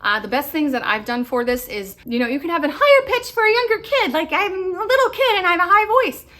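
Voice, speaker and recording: A woman's voice: extremely high pitch, sing-song, fast, sharp tone. A woman in her thirties giving upbeat, chatty homeschool advice to camera, often laughing. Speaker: homeschool vlogger. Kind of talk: casual advice talk to camera.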